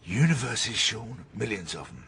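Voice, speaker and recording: A man's voice: fairly low pitch, sing-song, unhurried, vocal fry, a bit bright, slight background noise, full character voice. A British man playing an opinionated, wry middle-aged police detective in a radio drama, with an insistent, mock-pompous way of talking. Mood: pedantic, insistent. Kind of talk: naturalistic radio drama dialogue.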